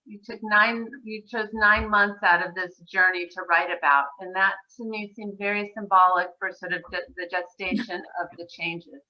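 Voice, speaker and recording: A woman's voice: mid-range voice, fairly even intonation, moderate pace, few pauses, highs cut off. A woman bookseller co-hosting an event, asking a guest author thoughtful questions over a video call. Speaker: bookseller co-host.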